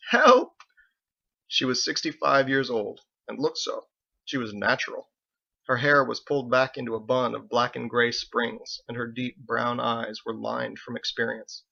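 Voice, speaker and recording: A man's voice: medium pitch, gravelly, bright, forceful tone, dull audio. A man reading scripted audiobook narration, an author telling his own story.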